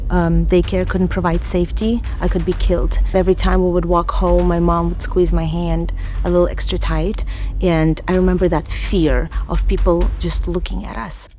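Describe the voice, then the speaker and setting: A woman from Azerbaijan and Russia telling her personal story in an earnest, reflective, deliberate way. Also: lowish voice, dark tone. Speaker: church member giving testimony.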